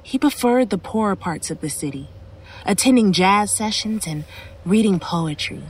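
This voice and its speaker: A woman's voice: medium pitch, animated, normal speed, husky. Guarded female shopkeeper. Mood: somber recounting. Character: guarded local shopkeeper woman in a ghost story. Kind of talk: horror audio drama acting.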